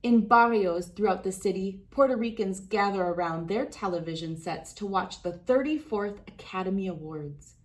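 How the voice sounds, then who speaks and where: Woman, medium pitch, expressive read-aloud host, picture-book narration, deliberate emphatic phrasing.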